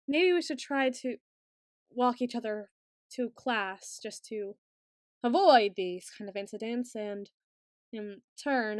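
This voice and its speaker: A woman's voice: medium pitch, dry recording, studio quality, lightly acted. A woman playing an awkward, bookish, secretly obsessive student in an ASMR roleplay, speaking in stilted, over-formal phrases with some hesitation. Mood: awkwardly protective.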